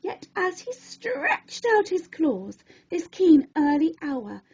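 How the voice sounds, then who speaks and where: A woman storyteller narrating a rhyming children's story in an expressive, theatrical and deliberate way. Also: very high-pitched, rough recording.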